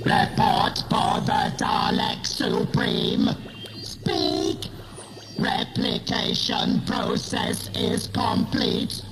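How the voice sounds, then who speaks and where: Slow, imperious Dalek. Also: female voice, medium pitch, steady tone, gravelly, reverberant, over loud music, low-quality recording. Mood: cold, commanding. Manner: imperious, clipped commands. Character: commanding Dalek, a cold alien war machine. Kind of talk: science fiction audio drama.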